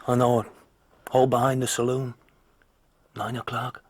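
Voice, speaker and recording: An actor playing a tough, defiant young counterfeiter on the run in a radio drama, terse and unflinching. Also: male voice, medium pitch, level tone, unhurried, no room sound, natural voice. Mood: defiant, cocky. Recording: clearly noisy background ambience.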